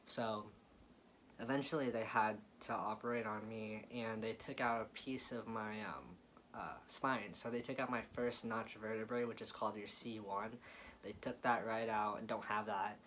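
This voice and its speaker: A man's voice: lowish voice, fairly even intonation, moderate pace, a bit bright, a little hiss or hum. A young adult American man chatting casually and informally to viewers as a vlogger, often repeating things for emphasis. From Florida, United States.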